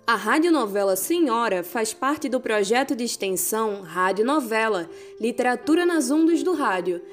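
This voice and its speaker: A woman's voice: a little high, expressive, moderate pace, faint music behind. A Brazilian woman reading a scripted radio announcement as the announcer for a programme.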